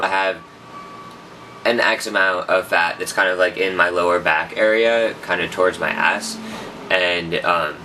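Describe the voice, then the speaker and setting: A man's voice: low voice, bright, forceful tone, distant mic, a little hiss or hum, low-quality recording. A young man rambling through a casual personal update, often correcting himself. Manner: rambling, self-correcting, chatty. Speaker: vlogger.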